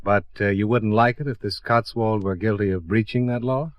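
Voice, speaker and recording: A man playing a tough, wry American investigator, delivering clipped, deadpan hardboiled lines in an old-time radio drama. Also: fairly low pitch, normal speed, no room sound, close to an everyday voice. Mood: wry, probing. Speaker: lead actor and narrator. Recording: dull vintage radio recording.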